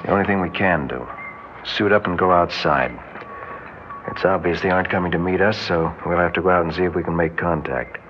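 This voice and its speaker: Man acting commanding, low-voiced spaceship captain, vintage radio. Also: natural voice. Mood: wary. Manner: brisk, authoritative, decisive. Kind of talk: old-time science-fiction radio drama acting. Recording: muffled, noisy vintage radio recording.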